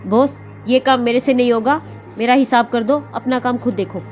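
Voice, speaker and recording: A woman voices a fed-up female phantom in a kids' horror tale. Her voice is slightly high, complaining and anxious, over a music track. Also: normal speed, a little mellow, natural voice. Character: fed-up female fake ghost working for crooks. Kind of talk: dramatized children's horror story. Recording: music behind the voice.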